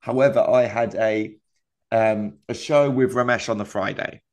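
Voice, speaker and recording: A man's voice: lowish voice, steady tone, normal speed. A man co-hosting a comedy podcast, telling a rambling travel anecdote in casual chat and laughing often.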